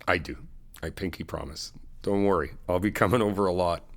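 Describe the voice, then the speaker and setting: A middle-aged man in an ASMR friend roleplay, talking in an easygoing, reassuring, chatty way with soft laughs. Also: low voice, fairly quick. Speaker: ASMR roleplay creator playing a best friend.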